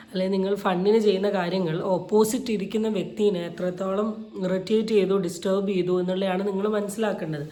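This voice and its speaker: A woman talks casually through her opinions as a video commentator. She explains each point and slips into English phrases. Her medium-pitched voice sits in a noticeably echoey room. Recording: echoey, roomy sound.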